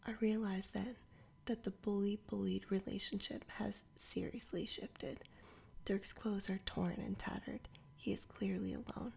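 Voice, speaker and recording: A woman reading a children's adventure story aloud entirely in a soft whisper, in ASMR style. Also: mid-range voice, flat delivery, unhurried, vocal fry, husky. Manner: whispers throughout. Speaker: storybook reader.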